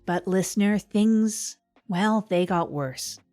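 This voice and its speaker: A woman's voice: medium pitch, takes it slowly, a little mellow, soft background music, close to an everyday voice. A woman playing an earnest, confessional comedian host delivering a scripted comic monologue on a podcast. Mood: earnest, rueful.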